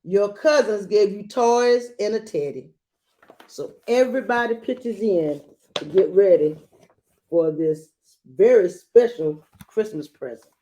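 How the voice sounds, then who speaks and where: A woman reading a children's picture book aloud expressively and gently, adding small asides as she goes. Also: medium pitch, expressive. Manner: gentle, unhurried, adding commentary to listeners.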